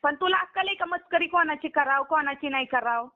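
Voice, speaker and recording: Very high-voiced scolding woman on the phone. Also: fairly quick. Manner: scolding, confrontational, insistent questioning. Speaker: online video performer receiving a prank call. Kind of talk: heated phone argument. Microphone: phone call. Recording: muffled lo-fi phone call recording.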